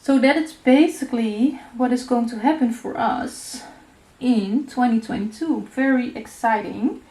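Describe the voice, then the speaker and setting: A woman's voice: fairly high pitch, measured pace, darkish tone. Chatty Dutch woman crafting in an echoey room. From Netherlands. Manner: chatty, many fillers and searching for words. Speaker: crafting channel host. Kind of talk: casual tutorial chat while crafting. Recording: echoey room with faint noise.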